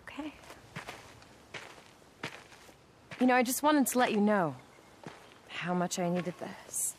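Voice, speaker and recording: A woman's voice: medium pitch, expressive, moderate pace, a little hiss or hum, natural voice. An actress playing a tough, guarded woman survivor in a video game, with a direct manner. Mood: grateful. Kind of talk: video game cutscene acting.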